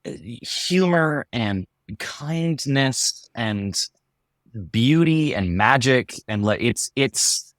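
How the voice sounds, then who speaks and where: A man's voice: medium pitch, animated, drawn-out words, no room sound, studio quality. A man chatting casually in an interview, speaking in an effusive, earnest way. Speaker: audio drama cast member being interviewed.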